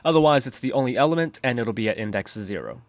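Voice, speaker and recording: A man's voice: medium pitch, lively intonation, fast talker, dry recording. A man narrating a programming tutorial in a methodical, instructional way.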